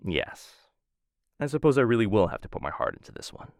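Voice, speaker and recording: Man acting a low, creaky-voiced courtly assassin suitor. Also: dry recording, clean studio sound. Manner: formal, courtly phrasing with hesitant softer asides. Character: smitten male assassin of noble house, suave, courtly, playful. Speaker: voice actor in a boyfriend roleplay audio. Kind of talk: ASMR roleplay acting. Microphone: close studio-style mic.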